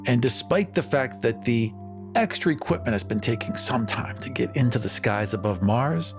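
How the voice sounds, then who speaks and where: A man playing a smooth-talking, evasive colony leader in improvised tabletop roleplay, assured and persuasive. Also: medium pitch, lively intonation, normal speed, over music, lightly acted. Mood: confident pitch.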